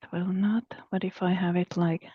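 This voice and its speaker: A woman's voice: fairly low pitch, flat delivery, moderate pace, slightly rough, no echo. A woman from Finland, a startup founder talking casually on a video call, flustered and murmuring to herself while struggling to share her screen. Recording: dry, dull video call audio.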